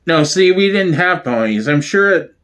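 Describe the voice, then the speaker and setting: A man's voice: fairly high pitch, animated, normal speed, fairly bright tone. A man giving a theatrical one-man dramatic reading of sitcom dialogue, switching between characters.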